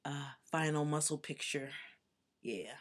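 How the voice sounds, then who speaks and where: A young man with a voice that is still deepening, giving a chatty, earnest video update full of fillers. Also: unhurried. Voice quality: voice still deepening, not yet fully low. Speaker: vlogger. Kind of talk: casual personal video update.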